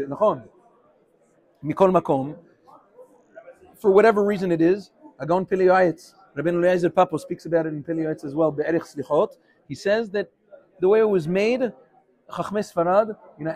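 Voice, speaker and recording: A man leading an informal, animated Torah class, switching between English and Hebrew phrases as he teaches and takes questions. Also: high-pitched.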